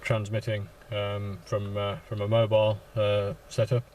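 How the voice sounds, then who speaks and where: A man chatting as an amateur radio operator in a halting way, repeating words as he goes and using call signs. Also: on the low side, monotone, a little mellow, slight background noise. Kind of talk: casual radio contact chat with call signs. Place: inside a parked vehicle.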